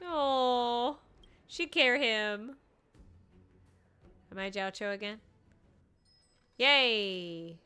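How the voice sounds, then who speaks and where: Streamer: woman, higher bright voice, unhurried, casual and playful, musing reactions to the game. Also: smooth, soft background music. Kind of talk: casual live playthrough commentary.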